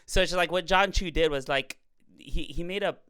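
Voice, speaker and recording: A man with a cold, his voice slightly raspy and hoarse yet still smooth and clean. He chats in a campy, lively way with quick asides. The sound is dry and close. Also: fairly high pitch, no room sound. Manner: chatty, campy, fast asides. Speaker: podcast host. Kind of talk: casual chat.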